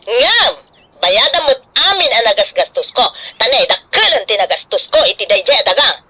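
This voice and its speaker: An actress with an Ilocano accent playing a greedy, scheming, bossy daughter in a radio drama, pushy and exclamatory. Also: female voice, fairly high pitch, big pitch swings, moderate pace, pushed and bright, husky, no room sound, full character voice. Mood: indignant, defensive.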